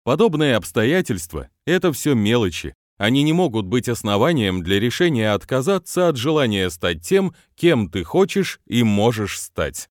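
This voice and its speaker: A man's voice: mid-range voice, big pitch swings, moderate pace, hardly any pauses, no echo, clean studio sound. A man, a business trainer, reading his own motivational book aloud with non-native pronunciation and an earnest manner.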